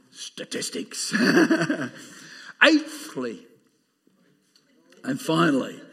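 A loud, booming Australian man preaches with fervor. He speaks in a high register, and his tone is bright and forceful. He punctuates his sermon with emphatic amens. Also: vocal fry. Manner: emphatic, laughing, exclaiming amen. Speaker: pastor. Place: church.

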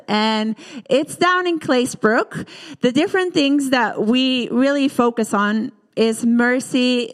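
A woman's voice: on the high side, smooth. Dutch woman sharing her testimony. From Netherlands. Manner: earnest, halting, with many fillers. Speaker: missionary giving a testimony. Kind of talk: personal testimony in church. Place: church hall. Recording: reverberant church hall.

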